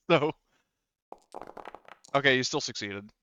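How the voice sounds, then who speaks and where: A man running a tabletop roleplaying game, narrating casually in a halting, rambling way with some swearing. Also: mid-range voice, a bit bright, highs cut off, slight background noise. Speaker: game master.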